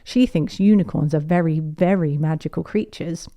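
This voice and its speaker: A woman voicing an excitable, friendly little girl pig in a children's picture book, eager and full of exclamations. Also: low voice, moderate pace. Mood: delighted.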